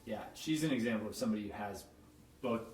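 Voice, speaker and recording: A man's voice: lowish voice, quick pace, a little mellow. A man hosting a podcast, talking in a thoughtful, rambling way with lots of fillers. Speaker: podcast host. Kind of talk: casual interview chat. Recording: echoey room, distant mic, faint noise.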